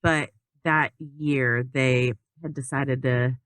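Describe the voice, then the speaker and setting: A woman's voice: extremely low pitch, fairly even intonation, slow pace, fairly bright tone, clean tone, no echo. A woman recounting a trip in loose, chatty podcast talk. She circles back, restarts her sentences and fills gaps with ums. The mic is close and dry, with no room sound. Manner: rambling storytelling with many fillers and restarts. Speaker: podcast guest telling a story. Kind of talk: casual chat.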